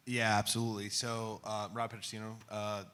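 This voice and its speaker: A man's voice: lowish voice, level tone, a little fast, fairly bright tone, clean tone. A man speaking as a tech executive on a conference panel in a confident, businesslike way. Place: conference stage.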